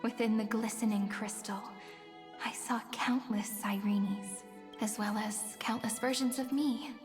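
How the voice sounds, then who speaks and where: An actress voicing a gentle, wistful young woman who tells of memories in soft, breathy, poetic lines for a video game. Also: on the high side, steady tone, unhurried, lightly acted. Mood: wistful, revealing. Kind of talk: scripted video game drama. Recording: long echoing reverb, music behind.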